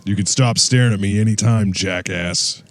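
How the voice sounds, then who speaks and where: An actor playing a gruff, sardonic, angry superhero in an audio drama, speaking curtly and sarcastically. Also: male voice, on the low side, moderate pace, dry recording, close to an everyday voice. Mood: curt irritation. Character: gruff, sardonic, angry middle-aged male superhero drinking alone.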